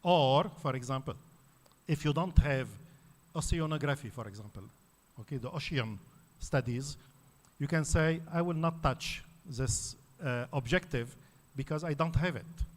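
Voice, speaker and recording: A man's voice: fairly high pitch, measured pace. Lebanese-born man lecturing in a reverberant hall. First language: Arabic. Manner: explanatory, with frequent fillers and restarts. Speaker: conference speaker. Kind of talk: academic panel talk.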